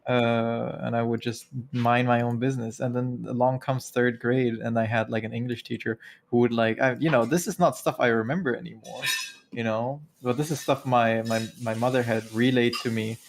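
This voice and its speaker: A man's voice: medium pitch, level tone, normal speed, vocal fry, a little hiss or hum. A man from Germany whose first language is German, chatting casually as a podcast co-host and rambling with lots of fillers and restarts. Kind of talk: casual chat.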